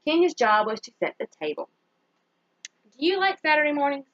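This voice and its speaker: Animated, high-pitched woman reading to children. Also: no echo, dull audio. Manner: pauses to put simple questions to young listeners and praise their answers. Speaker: teacher reading a picture book aloud to children. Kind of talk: children's story read-aloud with questions to the listeners.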